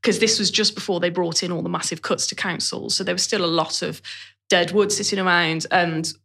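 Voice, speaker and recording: A woman's voice: fairly low pitch, fairly even intonation, fairly quick, gravelly, bright, forceful tone. A British woman telling her own career story as an interview guest in a candid, colloquial and self-deprecating way. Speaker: interview guest, business owner. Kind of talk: conversational personal career story.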